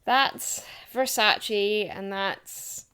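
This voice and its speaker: A woman's voice: mid-range voice, steady tone, drawn-out words, pushed and bright, clean tone. A woman playing a naive, grateful young hitchhiker in an audio drama, earnest and girlish. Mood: awed, flustered.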